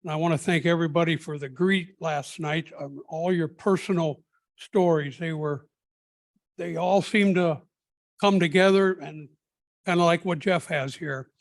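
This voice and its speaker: Man, audience questioner, slightly high voice, thankful. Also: steady tone, a little slow. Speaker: audience member asking a question. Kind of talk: question from the floor.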